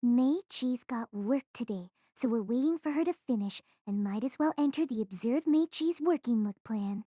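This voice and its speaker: A woman's voice: on the high side, animated, normal speed, hardly any pauses, warm tone, no room sound, studio quality. A woman playing a cheeky, scheming teenage schoolgirl in an audio drama, breezy and teasing. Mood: cheerful. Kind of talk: anime-style audio drama acting.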